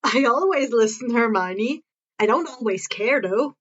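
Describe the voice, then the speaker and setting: Storybook teen boy played by a woman: high voice, wry, casual, easygoing, slightly muffled recording. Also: lively intonation, unhurried. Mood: teasing.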